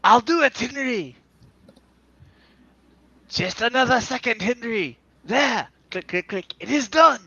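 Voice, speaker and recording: A nervous young radio operator, as a man puts him on in a comic-book reading, talking in a very high, bright, strained voice. He sounds hurried and tense. The recording is dull and lo-fi. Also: expressive, slightly rough, dry recording, full character voice. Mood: urgent.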